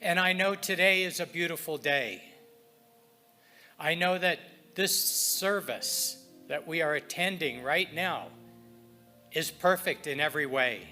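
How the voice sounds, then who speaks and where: A man leading inspirational readings and prayer at a spiritual service, speaking deliberately and reverently in short phrases with pauses. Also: a little high, sharp tone, faint music behind.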